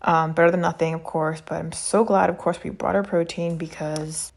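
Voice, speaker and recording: The lowish, fry-edged woman's voice you'd hear over a travel vlog, casually and candidly giving her review. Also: steady tone, normal speed. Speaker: travel vlogger.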